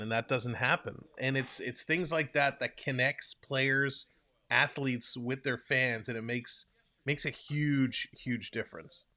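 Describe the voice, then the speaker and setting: A man's voice: mid-range voice, bright, forceful tone. A young man with a Toronto Canadian accent, rambling casually as he hosts a live hockey stream and answers viewers' comments. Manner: chatty, rambling, reads and answers chat aloud.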